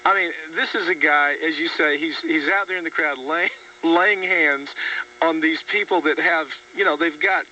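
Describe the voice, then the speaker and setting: A man's voice: a little high, moderate pace, sharp tone. A man hosting a political radio talk show, speaking emphatically and sardonically, often repeating words for stress. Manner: mocking, sardonic. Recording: dull, distant radio audio with faint hum.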